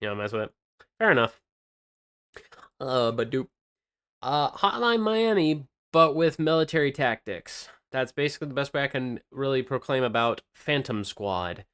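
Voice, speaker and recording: A man's voice: mid-range voice, lively intonation, normal speed, fairly bright tone, clean tone. A man co-hosting a podcast, chatting casually about games and rambling with lots of fillers and restarts. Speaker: podcast co-host presenting game news.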